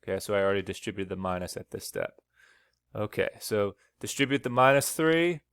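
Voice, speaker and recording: A man's voice: lowish voice, expressive, a little fast, a bit bright, clear, resonant tone, dry recording, studio quality. A man teaching math over lecture slides, walking through each step and checking in with "okay?" as he goes, his voice a little worn. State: slightly losing his voice.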